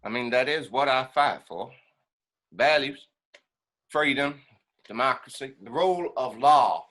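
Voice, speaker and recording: Combative military man, played by a male actor, scornful and folksy, hard bright tone, scripted stage dialogue. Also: mid-range voice, expressive, unhurried. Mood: earnest.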